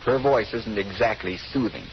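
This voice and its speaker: An actor playing a charming, flirtatious scientist and patient who jokes and courts in vintage radio drama style. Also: male voice, fairly low pitch, normal speed, natural voice. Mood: playful, flirtatious. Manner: bantering, courting, playful. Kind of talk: 1930s-40s radio drama acting. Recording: dull, noisy old radio broadcast.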